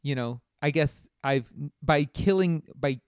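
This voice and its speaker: A man's voice: a little high, measured pace, clear, resonant tone, no echo, clean studio sound. A man co-hosting a podcast, talking casually and reflectively, posing questions and checking for agreement. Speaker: podcast co-host.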